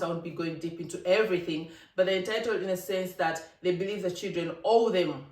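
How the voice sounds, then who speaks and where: A vlogger from Africa, giving an emphatic, animated opinion monologue with laughing asides. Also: medium pitch. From East Africa. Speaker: vlogger, channel host. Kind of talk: casual opinion monologue to camera. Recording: roomy, distant from the mic.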